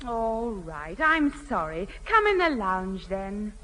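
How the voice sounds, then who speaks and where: A woman's voice: mid-range voice, sing-song, slow, pushed and bright, exaggerated voice. A woman playing a haughty, sarcastic teenage older sister in a radio sitcom. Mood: huffy. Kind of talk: 1970 British radio sitcom acting. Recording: noisy, reverberant old radio recording.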